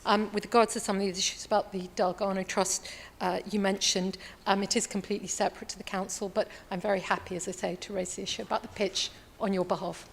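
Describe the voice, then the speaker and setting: A woman's voice: mid-range voice, level tone, quick pace, vocal fry, slight background noise. A woman councillor answers a resident's question at a council meeting, earnest and hesitant, with frequent restarts. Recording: long hall reverb.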